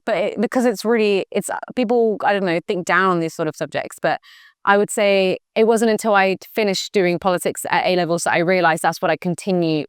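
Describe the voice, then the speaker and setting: A woman's voice: lowish voice, clean tone, dry recording, studio quality. A young woman answering interview questions in a chatty, enthusiastic way, with lots of "like" and "you know". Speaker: guest, former government official. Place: campus recording room.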